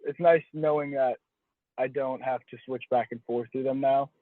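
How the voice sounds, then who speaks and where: A young man answers interview questions casually in a mid-pitched voice, circling back and rephrasing as he talks. The sound is a lo-fi phone call, dull and dry, with no sense of room. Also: fairly even intonation, normal speed, no echo. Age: young adult. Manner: hedging, self-correcting, restating phrases. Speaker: college baseball pitcher being interviewed.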